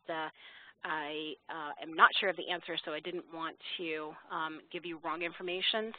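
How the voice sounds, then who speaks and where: A woman presenting a webinar question-and-answer session over a phone line, speaking politely and informally with a halting, hesitant delivery. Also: sits low, dry recording. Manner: polite, formal address to audience. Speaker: webinar presenter for a software company.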